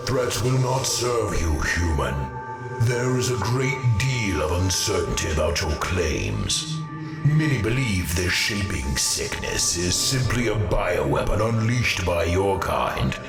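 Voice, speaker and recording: Gravelly, haughty alien man, echoing. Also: low voice, sing-song, exaggerated voice. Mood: cold disdain. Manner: cold, measured, condescending. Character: haughty, skeptical alien male authority, scornful of humans. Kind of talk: dramatic audio drama acting. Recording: roomy, slightly distant, music behind. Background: music.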